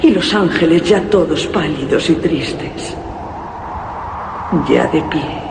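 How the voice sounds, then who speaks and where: An actor playing a solemn reciter of macabre verse in a radio horror drama, grave and declamatory. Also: male voice, on the high side, creaky. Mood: grim, ominous. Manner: grave, declamatory, measured phrasing. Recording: noisy radio recording with long reverb.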